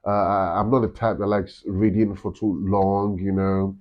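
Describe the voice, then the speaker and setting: Man, Nigerian accent, deep low voice, rambling chatty storyteller, muffled lo-fi recording. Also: flat delivery, normal speed. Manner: rambling storytelling, frequent "you know". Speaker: campus radio director, guest. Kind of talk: casual interview chat. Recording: dull lo-fi sound.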